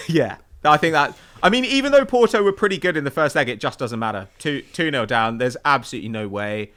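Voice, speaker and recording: A man with a bright, forward voice hosts a football podcast. He speaks at an ordinary male pitch and keeps the discussion casual and conversational. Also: animated, brisk.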